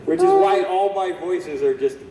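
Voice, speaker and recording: A man's voice: sits high, normal speed. A man chatting casually and jokingly as a voice actor on a panel, self-deprecating in manner. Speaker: cartoon creator and voice actor on a panel. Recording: echoey hall, distant mic, crowd noise.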